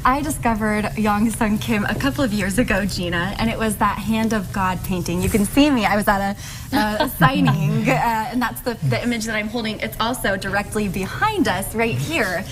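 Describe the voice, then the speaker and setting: A woman's voice: medium pitch, normal speed, music in the background. A woman hosting a TV studio interview, upbeat and chatty, laughing easily with her guests. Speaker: TV show host. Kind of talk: morning TV interview.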